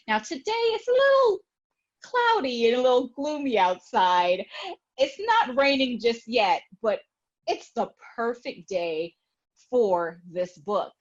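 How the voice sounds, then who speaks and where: A woman hosting a children's read-aloud show in a gushing, excited manner. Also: fairly high pitch, lo-fi sound.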